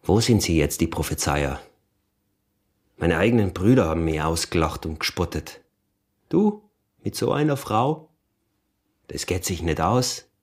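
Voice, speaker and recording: An actor with an Austrian accent playing a cunning, insistent peasant smuggler and husband in a radio drama. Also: male voice, deep voice, expressive, unhurried, pauses often, breathy edge, full character voice. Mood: scornful, proud. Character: sly scheming peasant smuggler, husband, early 1900s. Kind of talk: radio drama acting in dialect.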